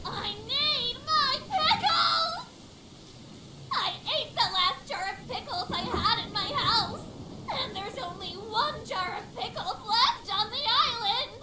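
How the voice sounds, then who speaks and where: A man voicing a frantic, whining, pickle-obsessed island eccentric in a video game, with a shrill squeaky voice. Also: lively intonation, slow, full character voice. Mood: desperate. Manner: whining, exaggerated, dramatic. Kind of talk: video game character dialogue. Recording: roomy echo, faint background noise.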